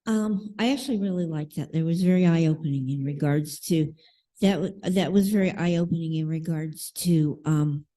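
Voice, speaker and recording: A woman's voice: low-pitched, normal speed, mellow. A woman from a congregation giving an informal comment in a group discussion.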